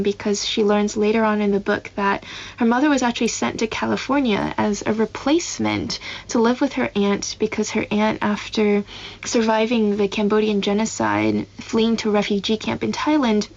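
Gravelly-voiced young woman novelist. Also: medium pitch, muffled recording. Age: 20s. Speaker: novelist guest. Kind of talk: interview answers.

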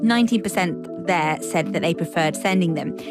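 A woman's voice: lowish voice, normal speed. Woman presenter over background music. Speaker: podcast presenter. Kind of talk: scripted presenting. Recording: music playing underneath. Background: music bed.

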